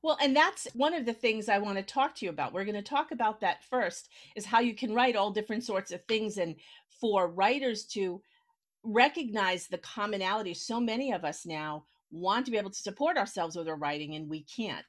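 A woman's voice: mid-range voice, brisk. A woman hosting a conversational interview, explaining things in an encouraging, chatty way. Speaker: podcast host, writer.

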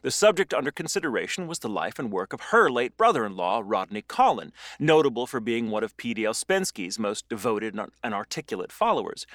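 A man's voice: medium pitch, fairly bright tone, no echo, clean studio sound. A man presenting a podcast, reading a scripted essay in an erudite, literary manner with conversational asides.

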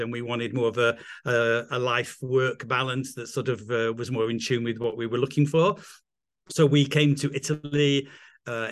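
A man's voice: medium pitch, a bit bright. A middle-aged man with an English accent, a guest on a video call, talking enthusiastically and rambling with restarts. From England. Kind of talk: casual interview chat.

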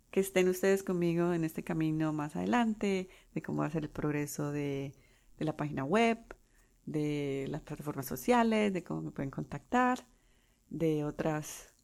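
A Colombian woman in her forties hosting her own podcast, talking unscripted with pauses and restarts. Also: low-pitched, expressive, gravelly, a little mellow. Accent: Colombian Spanish. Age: 40s. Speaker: podcast host. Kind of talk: solo podcast monologue.

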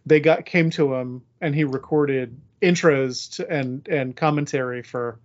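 A man's voice: medium pitch, measured pace, highs cut off. A man hosting a casual discussion podcast, conversational and wry as he moderates. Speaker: podcast host.

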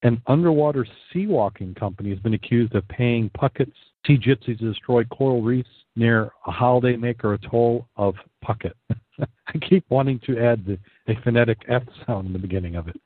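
A man's voice: medium pitch, brisk, breathy edge, no echo. A man co-hosting a casual talk show, easygoing and a little hesitant as he reads news items aloud. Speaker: co-host reading news items. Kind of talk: casual discussion and reading articles aloud. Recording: dry, tinny phone-like sound.

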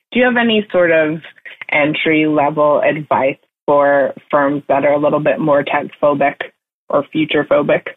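A woman's voice: low-pitched, dry recording. A woman interviews in a thoughtful, conversational way as a podcast host. Her voice comes through thin and phone-like, with no room sound around it. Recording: dry, tinny phone-like line.

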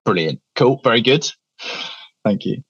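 A man co-hosting a podcast, quick and affirming, over a muffled remote call. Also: medium pitch, expressive, a bit bright, husky, no room sound. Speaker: podcast producer and co-host. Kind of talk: casual podcast chat.

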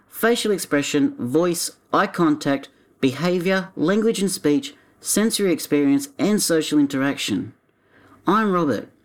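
A man reading scripted, informational narration and speaking as himself. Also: on the high side.

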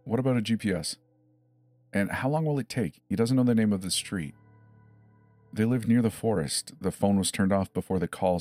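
A man's voice: deep voice, darkish tone, dry recording, voice pushed down. A man playing an emergency dispatcher in a dramatized horror story, reassuring and methodical, probing with short questions. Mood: urgent report. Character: calm, insistent adult emergency dispatcher questioning a child.

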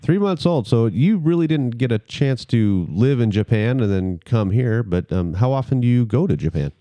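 A man's voice: medium pitch, lively intonation, normal speed, warm tone, no echo. A man hosting a casual beer-tasting podcast, easygoing and prompting his guests with questions. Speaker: podcast host.

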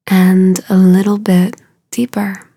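A woman guiding a meditation in a soothing, hushed way, soft and breathy at the ends of phrases, with unhurried, drawn-out phrasing. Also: medium pitch, little pitch movement, no echo, clean studio sound. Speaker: meditation guide. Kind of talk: guided meditation.

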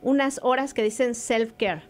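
A woman with a slightly high voice hosts a casual radio conversation. She steers the talk, welcomes guests, and laughs easily between lines. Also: normal speed. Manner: laughs often, leads the conversation. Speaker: radio host.

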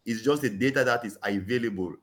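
A man's voice: medium pitch, fast talker, fairly bright tone. A man, speaking earnestly as an interview guest, often saying "you know" and pressing hard on his key points. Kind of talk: conversational interview, advocacy.

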